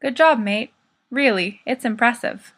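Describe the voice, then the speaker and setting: A bossy teenage lad, voiced by a woman narrator in an audiobook. He is brisk, blunt and teasing, with a high, bright tone. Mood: dry teasing. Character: bossy, teasing, tipsy teenage boy, ringleader. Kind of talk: fan fiction audiobook character.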